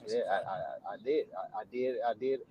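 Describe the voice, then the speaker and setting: A man's voice: fairly low pitch, a little fast, dark tone, husky, rough recording. A man who is a guest on a podcast, speaking with stammers and restarts and laughing easily. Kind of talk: casual podcast chat.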